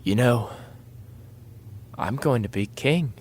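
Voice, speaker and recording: A man's voice: mid-range voice, takes it slowly. An actor playing a young, tipsy, self-deprecating party-boy prince in a modern comedy audio drama, with slangy, boyish bravado. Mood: wistful. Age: young adult.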